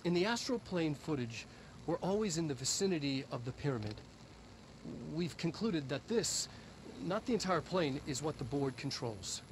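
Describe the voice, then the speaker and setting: Actor as eccentric scientist, halting and higher-pitched. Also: male voice, animated, slight background noise, close to an everyday voice. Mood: earnest lecturing. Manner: halting, deliberate, lecturing. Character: eccentric middle-aged male head scientist, earnest and secretive. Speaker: head of research. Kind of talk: in-world instructional video presentation.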